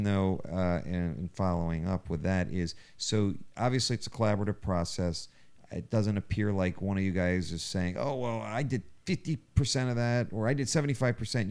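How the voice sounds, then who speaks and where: A man with a very low, smooth, resonant voice hosts a casual podcast. He talks earnestly and thoughtfully, working out his phrasing as he goes. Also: a little fast, a little mellow. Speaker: podcast host.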